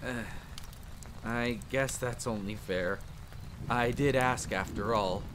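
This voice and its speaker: A man's voice: medium pitch, slow, fairly bright tone, a little hiss or hum. A man playing a sentimental, chatty monster hunter in an audio roleplay, playful and self-deprecating. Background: faint rain, campfire ambience.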